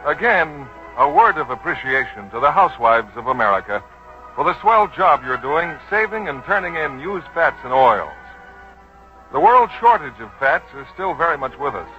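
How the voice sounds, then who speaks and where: Male ad announcer, 1940s radio, emphatic, bright, very animated, dull vintage recording. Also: a little high, moderate pace, soft background music. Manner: emphatic sales delivery. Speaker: commercial announcer. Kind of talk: 1940s scripted radio advertising.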